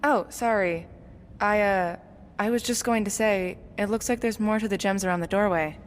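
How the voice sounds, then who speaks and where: A woman playing a practical, level-headed young explorer in an audio drama, matter-of-fact and measured, in a reverberant space. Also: medium pitch, natural voice. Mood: explaining. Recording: long cave-like reverb, faint noise.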